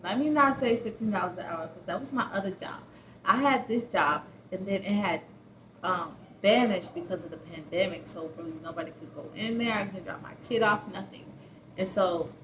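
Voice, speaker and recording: Woman, early twenties, casual vlog storytelling, slangy conversational delivery, roomy echo, noticeable background noise. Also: medium pitch. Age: early 20s. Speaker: vlogger. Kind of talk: casual rambling personal storytelling.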